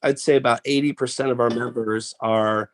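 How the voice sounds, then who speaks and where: A man's voice: mid-range voice, fairly even intonation, moderate pace. Congested male founder, off-camera call presenter, chatty and loose with frequent restarts. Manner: chatty, rambling, with many fillers and restarts. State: congested head cold. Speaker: founder and chief executive presenting to members. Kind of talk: casual online presentation. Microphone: off camera, video call mic.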